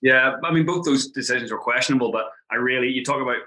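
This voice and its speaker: Chatty Irish man with a bright voice. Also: mid-range voice. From Ireland. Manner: animated, chatty, quick asides. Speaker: guest, world traveler. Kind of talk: casual podcast conversation.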